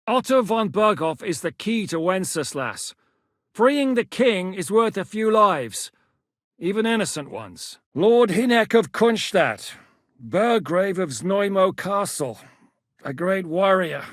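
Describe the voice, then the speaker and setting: An actor playing a gruff, battle-hardened war commander in a video game, blunt and coarse as he barks orders. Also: high-pitched, bright, forceful tone, dry recording, studio quality, heavily acted. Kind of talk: game voice lines.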